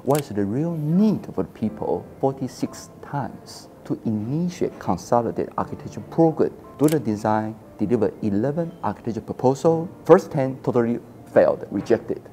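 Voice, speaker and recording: A man's voice: medium pitch, expressive, normal speed, hardly any pauses, mellow, soft background music. A man in his forties from Taiwan, an architecture historian telling his story in a casual interview, acting out quoted dialogue and laughing often. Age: 40s.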